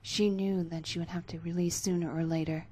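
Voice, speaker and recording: A woman gives a dramatic scripted narration of fan fiction. Her voice sits a little low and is distinctly husky and breathy. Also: level tone, fairly quick, mellow.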